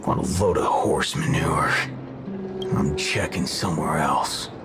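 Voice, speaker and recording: Slow, drawling gunslinger. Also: male voice, extremely low pitch. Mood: gruff, wry. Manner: drawling, folksy, mock-cursing. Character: gruff, folksy male cowboy gunslinger. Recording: loud music behind.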